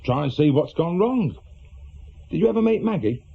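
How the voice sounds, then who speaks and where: An actor playing an awkward middle-aged reformed small-time crook with an English accent, a worried husband in a British radio drama, husky and hesitant. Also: male voice, fairly high pitch, animated, normal speed, heavily acted. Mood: earnest, troubled. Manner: hesitant, colloquial, defensive. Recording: muffled, lo-fi radio drama recording.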